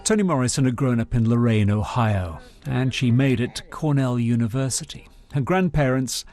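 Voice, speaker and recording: A man reporting for the news, reading a scripted voice-over in an even, deliberate way. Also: medium pitch, over music.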